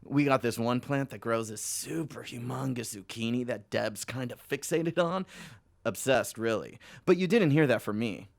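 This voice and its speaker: A man's voice: mid-range voice, moderate pace, gravelly, no room sound, natural voice. An actor playing a needy, hard-drinking philanderer of a phone salesman in an audio drama, rambling and swearing as he goes. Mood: sheepish, rambling.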